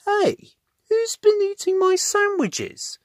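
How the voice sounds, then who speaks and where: A man's voice: very high-pitched, big pitch swings, takes it slowly, no echo, clean studio sound, voice pushed up, heavily acted. A man voicing a friendly, good-natured builder in a children's storybook. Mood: puzzled.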